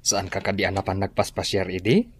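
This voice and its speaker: A man playing an affectionate, easygoing father and boss in an Ilocano radio drama, with a husky voice. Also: sits low, steady tone, a little fast. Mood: warm, relaxed.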